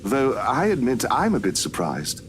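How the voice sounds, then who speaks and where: An actor playing a courteous, youthful priest in a visual novel, speaking formally and gently preaching. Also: male voice, medium pitch, huge pitch swings, normal speed, a little hiss or hum. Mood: genial. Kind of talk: scripted visual novel voice acting.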